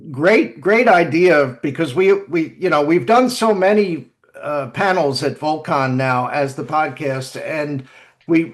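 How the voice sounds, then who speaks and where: Male podcast host: upper-mid pitch, casual delivery, enthusiastic and appreciative. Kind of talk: casual podcast hosting, introducing guests.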